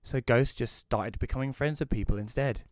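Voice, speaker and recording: A man in his thirties playing a glum, self-deprecating widower who narrates an audio drama in a dry, deadpan way. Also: mid-range voice, normal speed, no echo, close to an everyday voice. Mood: dry, resigned. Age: 30s. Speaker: narrator and lead character. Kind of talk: first-person scripted narration in audio drama.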